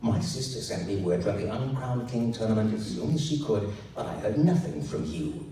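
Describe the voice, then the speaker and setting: A man's voice: mid-range voice, animated, normal speed, dark tone, lightly acted. Man acting a stiff, earnest emperor in echoey room. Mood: aggrieved. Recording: echoey noisy room, recorded from far off.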